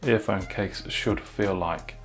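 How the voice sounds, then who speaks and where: A man's voice: deep voice, slow, music in the background. A man talking casually through a product unboxing and review in an informal way, with short asides.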